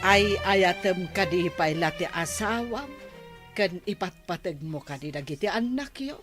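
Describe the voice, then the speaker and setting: A woman from the Philippines voicing a patient older mother who gives calm moral advice in an audio drama. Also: lowish voice, unhurried, music in the background. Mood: steady counsel. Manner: calm, gently preaching.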